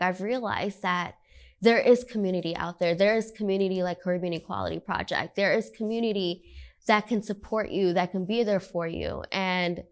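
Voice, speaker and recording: A young woman from Guyana giving an earnest, reflective personal testimony that repeats phrases for emphasis. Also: mid-range voice. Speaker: oral history participant. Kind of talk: personal oral history testimony.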